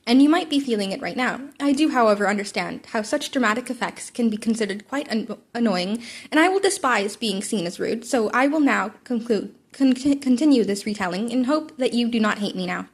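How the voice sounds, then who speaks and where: A woman's voice: fairly high pitch, lively intonation, fairly quick, husky. A woman reading fan fiction aloud as an amateur narrator, with wry, formal asides to the listener. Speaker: amateur audiobook reader.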